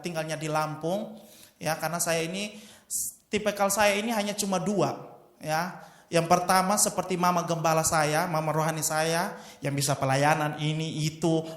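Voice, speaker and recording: A man in his twenties preaching a sermon with personal testimony, emphatic and often addressing the congregation. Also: a little high. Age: 20s. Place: church hall. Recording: echoey church hall.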